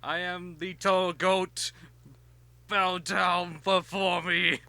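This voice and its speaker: Very bright, high-voiced goofy man. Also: flat delivery, drawn-out words, creaky. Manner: goofy, blurts out silly announcements. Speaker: let's play co-player. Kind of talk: casual gaming banter.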